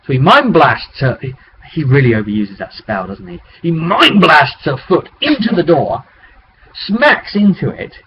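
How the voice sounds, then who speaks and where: Man, game master, rambling conversational recap, medium pitch, dull webcam sound, slight background noise. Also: big pitch swings, measured pace. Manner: rambling, conversational storytelling with acted-out quotes. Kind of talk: informal tabletop game session recap. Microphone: webcam microphone.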